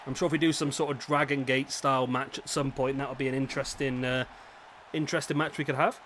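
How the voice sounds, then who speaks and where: A man's voice, a touch raspy from a sore throat, talks through a wrestling game in a loose, chatty way, often stopping to correct himself. His pitch sits in an ordinary middle range. A faint hiss sits under his headset mic. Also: fairly even intonation, fast talker. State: rough, slightly raspy throat. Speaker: host commentating a simulated wrestling league. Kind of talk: casual game commentary. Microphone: headset microphone.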